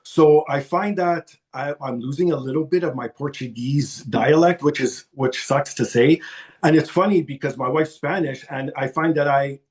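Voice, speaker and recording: A man's voice: fairly high pitch, moderate pace, darkish tone. A middle-aged man from Toronto, a podcast guest talking casually and at length, rambling a little with restarts. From Toronto, Canada. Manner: chatty, rambling, self-deprecating with restarts. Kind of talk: casual interview talk.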